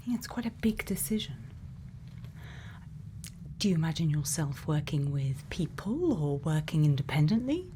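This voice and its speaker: A woman playing a gentle, quietly kind scientist in a soft, often whispered ASMR roleplay, soothing and reassuring with many pauses. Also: sits low, very animated, a little hiss or hum. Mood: gently curious. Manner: slow, soothing, pausing, reassuring. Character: gentle female scientist, quietly kind, programming a robot friend.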